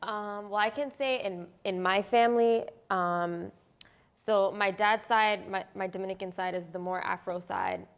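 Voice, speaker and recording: A woman's voice: mid-range voice, measured pace, smooth. A woman on a panel, telling a personal story in a chatty way and laughing easily. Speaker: guest panelist. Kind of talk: casual panel conversation.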